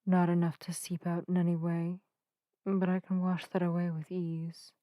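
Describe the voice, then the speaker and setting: A gentle witch voiced by a woman speaks softly in a warm, relaxed tone, very close and dry on the microphone. The delivery is earnest and soothing, with quaint, formal phrasing suited to an intimate ASMR roleplay. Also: on the low side, normal speed, no room sound, lightly acted. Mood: gentle, sincere. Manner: soft-spoken, soothing, old-fashioned formal phrasing. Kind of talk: narrative ASMR roleplay addressed to the listener. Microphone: close microphone in a dry space.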